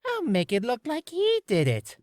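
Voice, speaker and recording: A man acts out a volatile male witness from a courtroom game. He is panicky and stammering, his pitch leaping about. The recording is dry and close. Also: wildly swooping pitch, moderate pace, darkish tone, no room sound, exaggerated voice. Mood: panicked. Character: cornered, stammering male witness turning to rage. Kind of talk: character voice reel, game acting.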